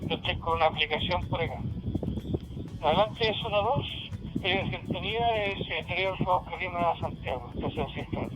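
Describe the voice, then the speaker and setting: A man's voice: high-pitched, moderate pace. A man chatting casually as an amateur radio operator, heard through a digital two-way radio. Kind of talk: casual ham radio exchange. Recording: muffled radio transmission under loud music.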